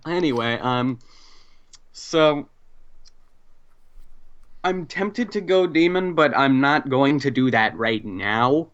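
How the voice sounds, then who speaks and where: A man playing a tabletop game, chatting casually and haltingly as he thinks aloud. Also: medium pitch, a bit bright, clean tone, dull audio.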